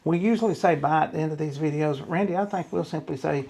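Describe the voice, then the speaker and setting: A man's voice: a little high, fast talker, creaky, dark tone, slight background noise. A man hosting an interview, speaking in a folksy, plainspoken way as he wraps up the conversation. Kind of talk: casual interview wrap-up.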